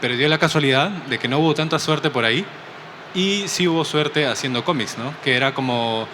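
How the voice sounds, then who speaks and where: A man from Peru with a Peruvian Spanish accent, a comic artist guest talking softly and thoughtfully in a casual interview. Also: mid-range voice, fairly quick. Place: busy convention hall. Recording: noisy convention floor. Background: crowd chatter of a convention.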